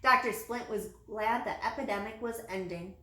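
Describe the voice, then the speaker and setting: A woman's voice: a little high, normal speed, pushed and bright. A woman reading a children's story aloud, as a teacher would to young listeners. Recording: echoey room with light background noise.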